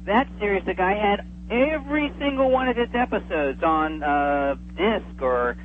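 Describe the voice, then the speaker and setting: A man's voice: high-pitched, lively intonation, a little slow. A man chatting casually and enthusiastically as a guest on a radio interview, calling in by telephone. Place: remote, calling in to a radio show. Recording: tinny phone line with noticeable hum.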